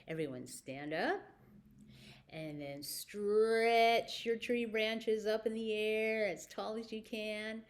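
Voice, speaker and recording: A woman presenting a children's story time, telling stories and rhymes and speaking directly to young listeners with simple prompts. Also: mid-range voice.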